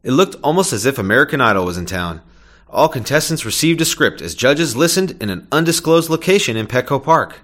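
A man's voice: mid-range voice, big pitch swings, fairly quick, fairly bright tone. A man reading a scripted local news item on the radio in an upbeat, announcing manner. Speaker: news reporter.

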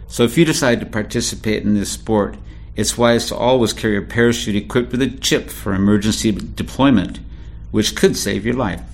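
Man, radio news reporter, measured sober read, mid-pitched voice.

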